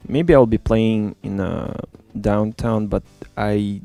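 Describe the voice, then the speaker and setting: A man in middle age with a low, gravelly voice and a mellow, dark tone, speaking English as an after growing up in Ukraine. He talks haltingly, with lots of fillers and restarts, in a relaxed studio chat. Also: no echo, faint music behind. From born in Russia, grew up in Ukraine. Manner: halting, many fillers and restarts, non-native phrasing. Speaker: musician guest. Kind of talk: casual interview chat. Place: small studio.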